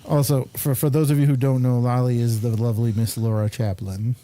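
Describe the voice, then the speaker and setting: A man hosting a casual studio chat in a halting, rambling manner. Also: medium pitch, little pitch movement, dark tone, a little hiss or hum. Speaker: host.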